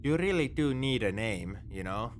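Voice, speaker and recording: A man playing a begrudgingly soft-hearted space pirate captor in a sci-fi audio roleplay, speaking to the listener in an exasperated, dismissive, teasing way. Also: medium pitch, expressive, normal speed, a little hiss or hum, natural voice. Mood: wry, practical. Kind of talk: sci-fi ASMR roleplay acting, addressing the listener.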